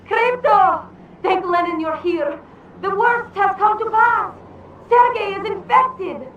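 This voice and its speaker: An actress playing a passionate, frantic Soviet spy in a video game, with a Russian accent. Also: female voice, extremely high pitch, takes it slowly, fairly bright tone, slight background noise, heavily acted. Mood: alarmed. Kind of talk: video game cutscene acting. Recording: echoey, distant, muffled game audio.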